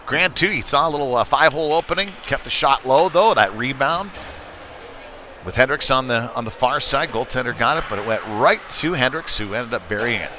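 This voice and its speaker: Male hockey announcer, mid-pitched, unscripted and halting play-by-play, noisy live rink recording. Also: lively intonation, bright, forceful tone. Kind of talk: live sports commentary.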